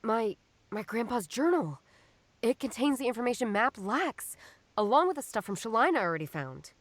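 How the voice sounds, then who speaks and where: A woman's voice: fairly high pitch, lively intonation, moderate pace, no echo. An actress voicing a stubborn, skeptical woman detective in a noir game, wry and musing as she reasons things out. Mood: puzzled, wry. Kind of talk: noir detective game voice acting, inner monologue.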